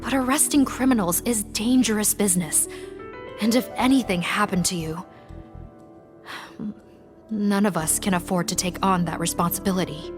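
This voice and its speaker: An actress doing a dutiful female officer in a video game, brisk and firm about her duty. Her voice sits at an ordinary pitch, with game music clearly audible behind her. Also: natural voice. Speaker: law enforcement officer.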